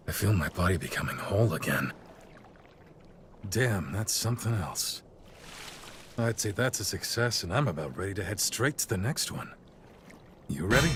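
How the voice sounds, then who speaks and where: An actor playing an easygoing middle-aged warrior captain and encouraging mentor in a video game cutscene. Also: male voice, lowish voice, background noise. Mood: relieved, upbeat.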